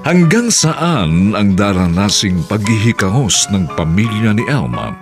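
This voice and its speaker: A man with a Filipino accent narrating a radio drama in a solemn, florid, drawn-out theatrical style. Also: fairly low pitch, lively intonation, over music.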